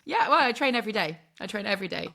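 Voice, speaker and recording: A woman's voice: mid-range voice, animated, very fast, creaky, sharp tone. A woman in her thirties from England, a sports broadcast journalist chatting casually as a podcast guest, self-deprecating and laughing often, with lots of "like" fillers.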